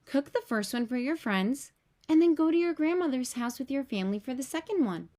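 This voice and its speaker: A woman's voice: high-pitched, lively intonation, brisk, a little mellow. A woman voicing a helpful, earnest young boy in a storybook, encouraging and confident. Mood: upbeat.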